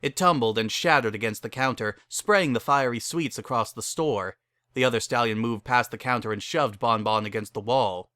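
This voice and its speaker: A man narrates a story in a dramatic reading style. His voice is mid-pitched, bright and forceful, recorded dry without room sound. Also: normal speed, dry recording.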